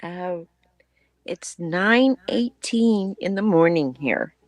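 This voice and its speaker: A soft-spoken woman joining a live stream over an online call, gently teasing. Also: on the low side, lively intonation, takes it slowly, no room sound. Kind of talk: casual livestream chat. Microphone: remote online call.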